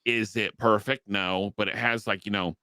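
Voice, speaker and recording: A man's voice: lowish voice, fairly even intonation, moderate pace, sharp tone, clear, resonant tone, no room sound, studio quality. A man giving thoughtful, argued opinions as a panelist in a casual podcast discussion.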